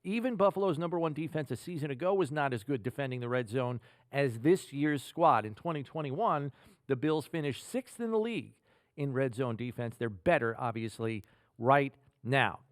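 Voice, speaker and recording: An American man hosts a sports podcast in a mid-pitched voice. He reads his analysis like a polished announcer, leaning on key phrases. The sound is dry and close, with no room around it. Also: expressive, moderate pace, no echo. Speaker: podcast host. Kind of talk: sports analysis, scripted hosting.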